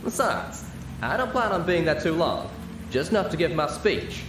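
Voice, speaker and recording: Guy doing a folksy pony gal, earnest drawl, storybook character, raised pitch, roomy noisy recording. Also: big pitch swings, moderate pace, distant mic. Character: folksy, plain-spoken, earnest female country pony. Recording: roomy with clear background noise.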